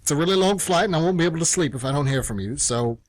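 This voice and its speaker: A man's voice: fairly high pitch, expressive, rapid-fire, no echo, low-quality recording. A man acting a stubborn, lovesick young man in a radio play, terse, defensive and earnest. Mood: pleading, awkward. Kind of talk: stage-play dialogue read as radio theatre.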